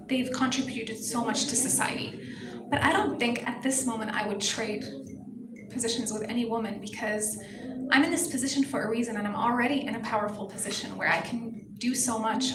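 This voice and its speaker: A young woman from Palestine answers press questions at a pageant meet-and-greet, earnest and impassioned. Her voice sits a little high. The recording is echoey, with clear room chatter behind her. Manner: earnest, impassioned, speaks in full sentences. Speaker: pageant contestant. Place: hotel event hall with a crowd. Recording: echoey hall, busy room noise.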